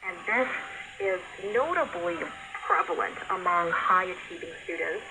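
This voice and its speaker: A woman speaking as a panelist in a radio discussion, explaining in a measured way. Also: on the low side, lively intonation. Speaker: radio talk show panelist. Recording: noisy shortwave radio broadcast. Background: shortwave static and hiss.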